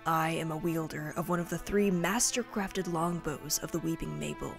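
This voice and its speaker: An actress playing a distrustful, self-serious elven rebel leader in a fantasy audio drama, stern and curt. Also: female voice, low-pitched, normal speed, creaky, soft background music. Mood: self-important.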